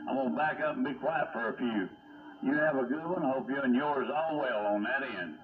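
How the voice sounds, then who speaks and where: A man's voice: mid-range voice, moderate pace. A man with a Southern US accent chatting casually over radio as a CB operator, in folksy, clipped phrases. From United States. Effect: radio transmission. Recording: narrow-band AM radio, loud garbled interference. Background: other radio voices and static.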